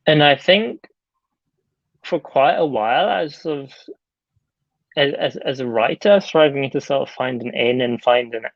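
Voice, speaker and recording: Young guy on a video call, gravelly, lots of ums and restarts, kinda dull dry audio. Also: mid-range voice, a little slow, long pauses, no echo. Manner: hesitant, many fillers and restarts. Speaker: film director. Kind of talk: casual interview answers.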